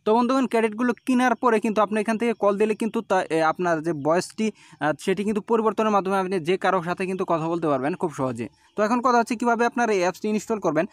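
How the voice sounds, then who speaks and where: Very fast, high-pitched man. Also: dry recording. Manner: instructional, repetitive step-by-step explaining. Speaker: tech tutorial host. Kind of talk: scripted-sounding app tutorial narration.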